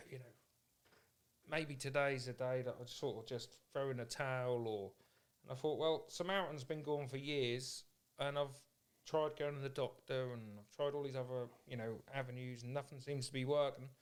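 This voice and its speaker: A man's voice: medium pitch, moderate pace, clean tone. A man hosting a casual podcast, speaking in an informal, candid and agreeable way. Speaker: podcast host. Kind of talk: casual chat.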